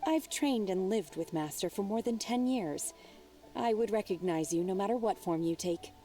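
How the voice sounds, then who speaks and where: A stoic young woman disciple from a video game, voiced by an actress, earnest and formally polite. Her voice is soft-edged and mellow, with expressive pitch, over faint music. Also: moderate pace, recorded from a distance, close to an everyday voice. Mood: sincere, respectful. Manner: polite, formal, matter-of-fact. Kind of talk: video game character dialogue.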